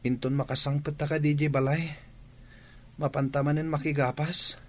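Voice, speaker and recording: A man's voice: a little high, level tone, normal speed, natural voice. A man with an Ilocano Filipino accent playing a rueful, complaining young son in a radio drama. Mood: anxious. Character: young son, spendthrift now broke and rueful.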